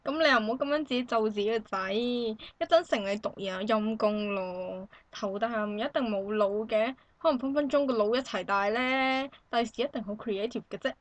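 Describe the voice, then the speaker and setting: A woman's voice: a little high, moderate pace, hardly any pauses, no echo, close to an everyday voice. A woman with a Hong Kong Cantonese accent acting an expectant young woman visiting a friend in a satirical radio drama, chatty, exclamatory and indignant. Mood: reassuring. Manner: animated, exclamatory, colloquial.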